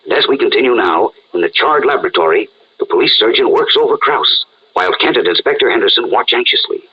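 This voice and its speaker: A man's voice: medium pitch, animated, fast, breathy edge. A man narrating a radio adventure serial in a scripted, dramatic style. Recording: dull, band-limited radio-style recording.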